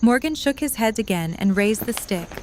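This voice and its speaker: A woman's voice: a little high, lively intonation, moderate pace, darkish tone, a little hiss or hum. A woman reading scripted story narration as the narrator of an audio drama.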